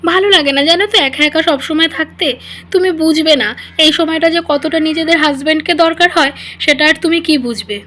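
A woman's voice: high-pitched, fast talker, fairly bright tone, slight background noise. A woman voicing a jealous, sulky young pregnant wife in a doll-play drama, whining and nagging melodramatically. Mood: sulky, hurt.

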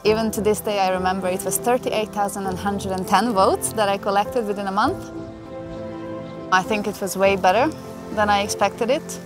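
A woman's voice: medium pitch, normal speed. Young woman telling her story. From Hungary. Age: young adult. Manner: earnest, emotional, chatty with fillers. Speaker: interviewee. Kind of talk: personal storytelling interview. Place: outdoors in a forest. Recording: clear music bed under the voice. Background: music.

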